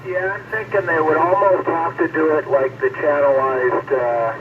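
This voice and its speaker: Man, radio transmission, muffled and noisy, casual technical talk, halting explanations. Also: a little high, fairly even intonation, distant mic. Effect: two-way radio transmission. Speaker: amateur radio hobbyist.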